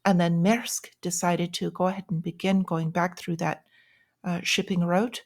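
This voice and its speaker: A woman's voice: lowish voice, level tone, moderate pace. A woman giving informal news commentary in a plain-spoken, explanatory and cautionary way, as the host of a financial vlog.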